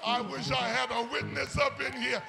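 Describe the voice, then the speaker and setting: High whooping preacher with gravelly tones. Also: male voice, little pitch movement, moderate pace, over music, crowd noise. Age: older. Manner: whooping, chanting, calls on the congregation. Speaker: pastor. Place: church sanctuary.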